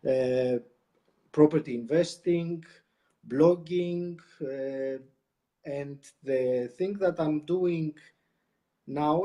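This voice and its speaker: Greek man, soft warm voice, hesitant. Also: a little high. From Greece. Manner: measured, many "uh" pauses. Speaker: podcast co-host, guest. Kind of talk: casual interview chat.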